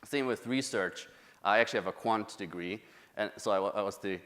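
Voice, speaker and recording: A man in his thirties with a German accent speaks to a conference crowd. His voice sits fairly low, and he talks loosely, with fillers and restarts. A long reverb rings around him in a big hall. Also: fairly quick, fairly bright tone. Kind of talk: conference talk.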